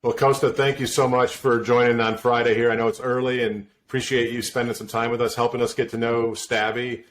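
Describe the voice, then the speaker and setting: A man hosting a podcast, relaxed and halting, often repeating words as he talks. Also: mid-range voice. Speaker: podcast host. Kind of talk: casual interview chat.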